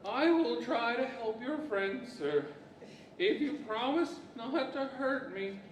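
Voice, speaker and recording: Slow, meek talking phonograph, played by a man. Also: very high-pitched, heavily acted. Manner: timid, polite. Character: Victor, meek, unloved living phonograph. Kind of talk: 1940s radio-style stage acting. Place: large indoor library event hall, live stage. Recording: echoey hall, distant mic, faint noise.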